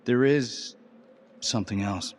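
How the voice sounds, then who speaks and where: An actor playing an intense, manipulative death-row prisoner in a crime game, speaking earnestly and persuasively. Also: male voice, medium pitch, slow pace, slight background noise, natural voice. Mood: grave, revealing. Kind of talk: cinematic crime game voice acting.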